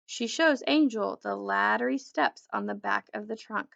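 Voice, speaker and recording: A woman reading a children's book aloud as an expressive narrator. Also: medium pitch, normal speed, vocal fry, dull audio. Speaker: storybook reader.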